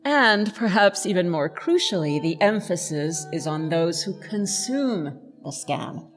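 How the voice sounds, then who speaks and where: A woman's voice: on the low side, expressive, faint music behind. Articulate woman giving a lecture. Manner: articulate, measured, academic. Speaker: professor, lecturer. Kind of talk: illuminated lecture, literary analysis.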